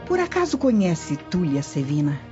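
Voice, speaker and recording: An actress with a Brazilian accent playing a commanding, vengeful Roman noblewoman in a radio drama, speaking deliberately and dramatically. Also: lowish voice, huge pitch swings. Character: vengeful, scheming, commanding Roman noblewoman plotting against a rival. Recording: dull lo-fi audio, faint music behind.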